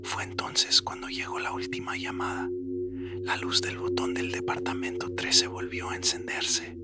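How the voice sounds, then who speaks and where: A man narrates a scripted horror tale in a low, breathy near-whisper. His delivery is suspenseful and very monotone, with loud music running underneath. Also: moderate pace. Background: loud atmospheric music.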